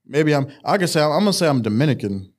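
A man in casual, crude podcast banter, his somewhat high voice leaping up and down as he riffs. He is animated and joking, sliding into mock voices to land a punchline. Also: fast talker. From United States. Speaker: podcast co-host.

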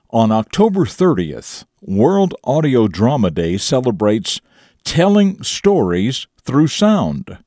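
A man hosting morning radio and narrating stories in an expressive, folksy, emphatic manner. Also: mid-range voice, no room sound, clean studio sound. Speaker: radio show host and storyteller. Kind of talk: morning radio talk and dramatic story narration.

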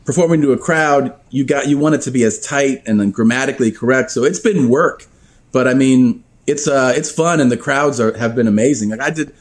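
A man's voice: medium pitch, fairly quick. Profane, laughing storyteller man. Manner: profane, animated storyteller who laughs often. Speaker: podcast guest. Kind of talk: casual storytelling.

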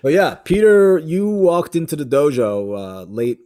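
A man in his thirties hosting an interview, talking in an animated, friendly way and often checking in with "right?". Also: fairly high pitch, unhurried. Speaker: podcast host and judo coach. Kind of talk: casual conversation.

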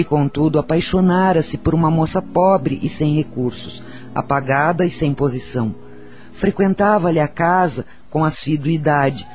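Brazilian radio drama role: wise, grave spiritual guide played by a man, fairly high male voice, measured preachy storytelling, soft music behind. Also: natural voice. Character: solemn, wise spiritual counsellor telling of past lives. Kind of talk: radio soap opera acting, storytelling.

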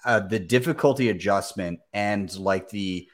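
Low-voiced laid-back male host. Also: unhurried. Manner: laid-back, joking, swears casually. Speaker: podcast host. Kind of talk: casual live-stream chat.

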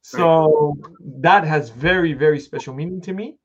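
A man's voice: fairly high pitch, moderate pace, muffled recording. A man hosting an interview, talking casually with frequent fillers and restarts. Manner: relaxed, rambling with restarts and fillers.

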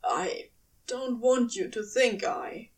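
A woman's voice: a little high, slow pace, a little mellow, far from the mic. A woman voicing a weary, yearning male demon lover in an audio story, speaking in earnest murmurs. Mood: pleading. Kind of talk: dramatic audio fiction reading.

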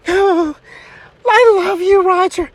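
A performer voicing a gushy, melodramatic, lovesick woman with a fickle temper, in a breathy, theatrical comedy parody of romance. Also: very high-pitched, little pitch movement, extremely slow, a little mellow, no room sound, exaggerated voice. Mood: gushing, adoring.

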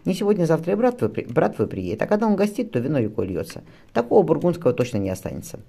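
A man's voice: a little high, huge pitch swings, very fast, gravelly, dark tone, voice pushed up, heavily acted. A man voicing a good-humoured, reassuring admiral and fond husband in a historical novel, with an easygoing manner. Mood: reassuring, cheerful.

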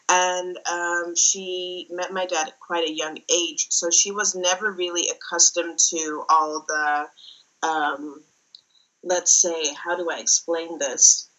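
Young woman from the Netherlands, interview guest; bright, lowish voice, halting and reflective. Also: rough recording. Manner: thoughtful, many fillers and restarts. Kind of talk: casual interview talk.

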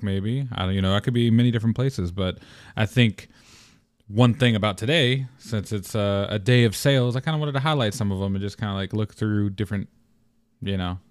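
A young man hosting his own podcast alone, with a deep morning voice, talking in a rambling, relaxed way with lots of fillers and restarts. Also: smooth, dry recording. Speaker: podcast host. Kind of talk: casual solo podcast talk.

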